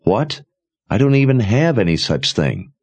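A man's voice: fairly low pitch, sing-song, unhurried, slightly rough, pitched above the performer's own voice, exaggerated voice. A man voicing a devious young male soldier in a fantasy audiobook, soothing and evasive. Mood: defensive.

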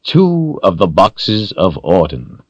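A man voicing a smug, villainous sorcerer in an audiobook, taunting and deliberate, with theatrical mock courtesy. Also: low voice, wildly swooping pitch, slightly rough, dry recording, lo-fi sound, heavily acted. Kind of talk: audiobook character voice.

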